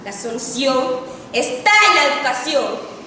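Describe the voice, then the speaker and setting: A young woman student with a Mexican accent giving a formal, solemn oratory speech with emphatic delivery and dramatic pauses. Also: high-pitched, lively intonation, sharp tone. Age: teens to young adult. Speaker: student contestant in an oratory contest. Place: large reverberant indoor hall. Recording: echoey hall, distant mic, faint noise.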